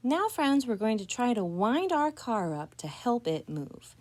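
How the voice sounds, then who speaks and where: A woman leads a hands-on activity for children in a friendly, instructional way. Her pitch moves around in an animated manner, and her voice has a husky edge. She addresses her young audience directly, as a children's librarian would. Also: a little slow.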